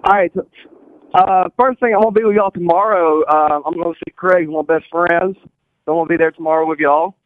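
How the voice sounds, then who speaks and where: A man's voice: sits high, fairly even intonation, fast, no echo, narrow, tinny audio. A nineteen-year-old man calling in to a radio show, chatting casually. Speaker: caller. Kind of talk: casual chat.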